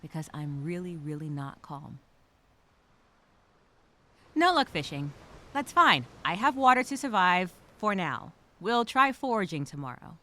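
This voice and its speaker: A woman playing a scared but determined castaway, speaking her survival journal as a halting, expressive dramatic monologue. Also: lowish voice, heavily acted. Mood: anxious, subdued.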